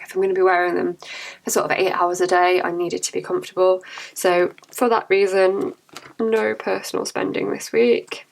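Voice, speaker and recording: A young woman chatting casually to camera about her budget, her voice croaky and cracking from a cold, with chatty, apologetic asides. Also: on the low side, poor audio. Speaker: budgeting vlogger.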